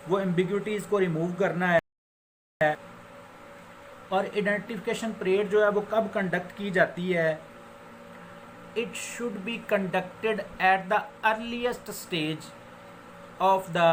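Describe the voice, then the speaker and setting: Male law lecturer, Pakistani accent, higher-pitched voice, didactic and repetitive, English legal terms mixed in, faint noise behind. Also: a bit bright, rough recording. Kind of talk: informal explanatory law lecture. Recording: noticeable background noise.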